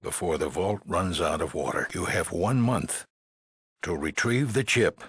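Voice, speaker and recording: An actor playing a stern leader of an underground vault in a video game, giving measured instructions. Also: male voice, sits low, lively intonation, fairly bright tone, husky, dry recording, exaggerated voice. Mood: grave, instructive. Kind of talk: acted video game dialogue.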